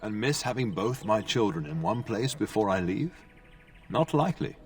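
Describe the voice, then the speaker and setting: A man's voice: on the low side, animated, moderate pace, far from the mic. An actor playing a dignified, fond middle-aged noble father in a fantasy video game. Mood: fond, teasing.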